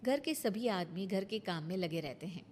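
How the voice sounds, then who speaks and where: A woman's voice: medium pitch, lively intonation, moderate pace, a little mellow. Woman narrating a story over noise.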